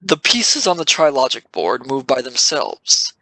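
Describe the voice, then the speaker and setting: Bright-voiced male audio drama narrator. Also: medium pitch, big pitch swings, measured pace, no echo, low-quality recording.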